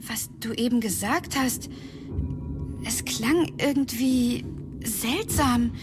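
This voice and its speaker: A woman's voice: fairly high pitch, takes it slowly, music in the background. A woman playing a worried young daughter of Death in a radio drama, urgent and chiding.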